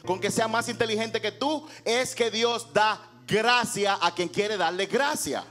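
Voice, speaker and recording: A man with a high-pitched voice preaches as a pastor. His delivery is emphatic and rhetorical, slipping into dramatized scripture dialogue, with instrumental music faintly behind him. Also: measured pace, a bit bright. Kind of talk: sermon preached to a congregation.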